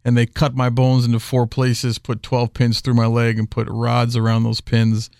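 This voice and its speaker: A man's voice: mid-range voice, completely flat delivery, normal speed, vocal fry, a little mellow, clear, resonant tone, no echo, clean studio sound. A man talking casually as a podcast guest, rambling with many fillers and restarts. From Houston area. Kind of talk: casual conversation.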